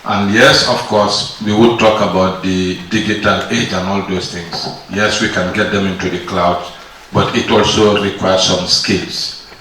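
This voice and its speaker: Man, low but forceful voice, formal workshop question, distant and echoing. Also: flat delivery, unhurried. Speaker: workshop participant asking a question. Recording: echoey room, distant mic, faint noise.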